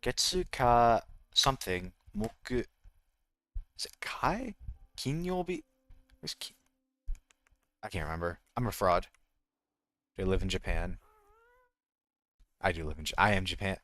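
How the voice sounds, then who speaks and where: A man's voice: deep voice, lively intonation, measured pace, long pauses, pushed and bright, no room sound. A man with an American accent chatting casually as a game streamer, rambling and jokey, often correcting himself mid-thought. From United States. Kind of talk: casual livestream chat.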